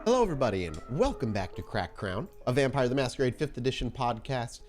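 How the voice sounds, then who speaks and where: A man hosting and narrating a tabletop roleplaying podcast as storyteller, informal in chat and deliberate when setting scenes. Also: medium pitch, very animated, darkish tone, faint music behind. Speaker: podcast host and game storyteller.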